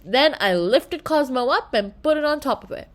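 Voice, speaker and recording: A woman reading a children's story aloud in an expressive manner, occasionally stumbling and correcting herself. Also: high voice, normal speed. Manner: expressive, with slips and self-corrections. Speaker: children's book reader.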